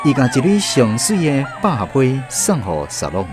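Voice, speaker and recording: A man with a Taiwanese accent narrating a literary radio drama as an expressive storyteller. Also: medium pitch, normal speed, music in the background, crowd noise.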